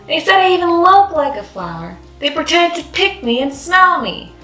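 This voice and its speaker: A sensitive little mouse girl from a storybook, acted by a woman, speaks in a plaintive, easily wounded way. The voice lifts high, in a roomy space with faint music. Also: very animated, normal speed, pushed and bright, far from the mic, full character voice. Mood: hurt, complaining.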